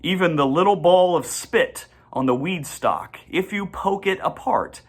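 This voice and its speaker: A reader narrating a children's storybook aloud in measured, deliberate phrases with the occasional stumble. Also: medium pitch, lively intonation.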